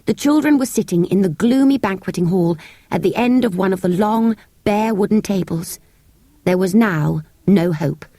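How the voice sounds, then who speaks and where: A woman with a British accent narrates a children's story in an expressive, measured storytelling manner. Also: mid-range voice, no echo. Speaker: audiobook narrator. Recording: close, dry cassette tape recording.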